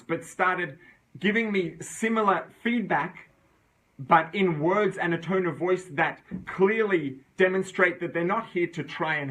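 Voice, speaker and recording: Higher-pitched man teaching a conversational class. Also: fairly bright tone, rough recording. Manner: conversational, checks in with "right?"; reasoning aloud with restarts. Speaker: Torah teacher. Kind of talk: informal religious class.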